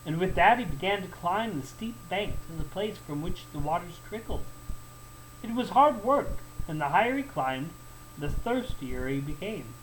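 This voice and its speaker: A man narrating a folktale as a storyteller, in an expressive, deliberate and emphatic way. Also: high-pitched, low-quality recording. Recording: faint background noise.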